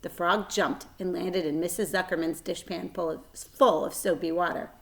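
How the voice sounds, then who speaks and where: A woman reading a children's book aloud as a storyteller, expressive, with dramatic emphasis and shifting volume. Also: lowish voice, normal speed, vocal fry.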